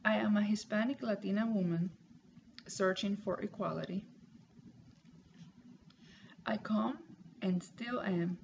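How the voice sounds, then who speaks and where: Gravelly-voiced woman reading. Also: medium pitch, darkish tone. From Puerto Rico. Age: 30s. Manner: careful, measured reading with pauses. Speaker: student. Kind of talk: reading a class assignment aloud. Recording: lo-fi home recording with noise.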